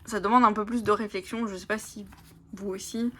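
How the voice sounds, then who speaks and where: A woman whose first language is Italian, casually presenting oracle cards, reading their lines aloud slowly and whispering in awe, with many fillers. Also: mid-range voice, fairly even intonation. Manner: soft, often whispering in awe, hesitant with fillers. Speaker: oracle card channel host.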